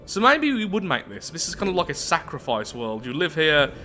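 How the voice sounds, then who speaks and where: A man's voice: a little high, very animated, fairly quick, sharp tone, faint music behind. A man giving chatty gaming commentary, musing aloud and self-deprecating as he switches between his own thoughts and reading story text. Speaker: host of a game playthrough series.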